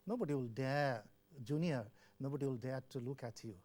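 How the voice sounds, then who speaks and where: A man's voice: mid-range voice, fairly quick, darkish tone. An older man from Bangladesh, a former health official, earnestly telling his life story in an interview and acting out remembered dialogue. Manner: earnest, repeats words, acts out quoted dialogue. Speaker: former WHO country representative.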